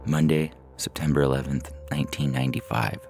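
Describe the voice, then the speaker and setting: A man reading a first-person short story as a narrator, in a measured, deliberate way, from a script. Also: a bass voice, fairly even intonation, creaky, a little mellow. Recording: music bed under the voice. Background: synthesizer music.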